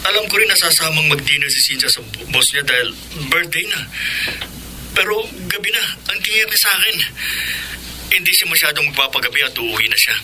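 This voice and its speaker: A man's voice: a little high, expressive, fairly quick, bright, forceful tone, slight background noise, rough recording. A man playing a worried boyfriend urgently asking for help finding his missing girlfriend, in a classic radio drama. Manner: urgent, pleading.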